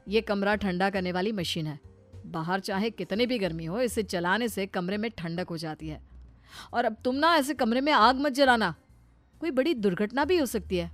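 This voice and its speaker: A woman's voice: medium pitch, natural voice. A woman voicing an exasperated young husband in a cartoon story, fussy and incredulous but indulgent. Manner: incredulous, fussy, explaining patiently when calm. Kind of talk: Hindi cartoon storybook dialogue.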